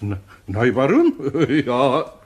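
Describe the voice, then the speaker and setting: A man's voice: medium pitch, huge pitch swings, slow, husky, exaggerated voice. An actor playing a kindly older master craftsman with a Bavarian accent, patient and amused, in a German radio play. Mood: patient, fond.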